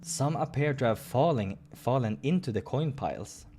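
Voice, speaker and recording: Expressive man narrating a visual novel. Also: medium pitch, normal speed, a little hiss or hum. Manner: expressive reading with casual joking asides. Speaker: let's-play host. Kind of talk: narrating a visual novel playthrough, reading aloud.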